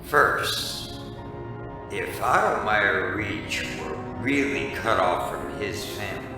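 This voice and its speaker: An actor playing a hard-drinking, wisecracking, irritable private eye in a noir radio play, deadpan and world-weary. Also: male voice, slow, gravelly, full character voice. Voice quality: deep. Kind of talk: noir detective radio drama narration. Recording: very echoey, distant, music behind.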